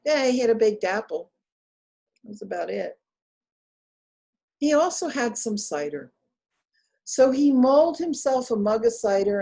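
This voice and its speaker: A woman telling a folktale aloud in an expressive, deliberate manner with emphatic pauses. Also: medium pitch. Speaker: storyteller. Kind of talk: live oral folktale storytelling, dramatic.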